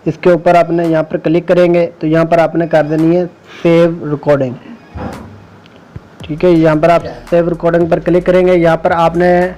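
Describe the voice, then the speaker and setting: A man's voice: a little high, monotone, moderate pace, warm tone, slight background noise, rough recording. A man hosting an informal step-by-step phone app tutorial. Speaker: tech tutorial host. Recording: music playing behind the voice. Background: music.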